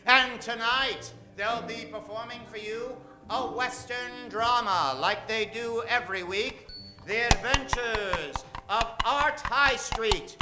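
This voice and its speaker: A man's voice: very high-pitched, takes it slowly, music in the background. A man hosting a live comedy radio show from a theater stage, bantering with the audience and drawing out names in a theatrical announcer style.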